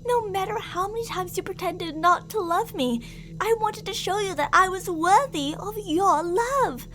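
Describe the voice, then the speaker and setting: A woman's voice: extremely high pitch, measured pace, pushed and bright, a little hiss or hum, heavily acted. A woman playing an obsessive, delusional stalker in an ASMR roleplay, theatrical and sweetly menacing, with jealous, volatile endearments and reproaches. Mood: wounded, obsessive.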